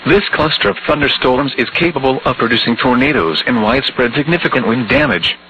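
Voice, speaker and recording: A flat, even male voice reads out an automated emergency weather alert. It has a synthetic, computer-like evenness. The broadcast sounds dull and lo-fi, with a faint hum under it. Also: mid-range voice, brisk.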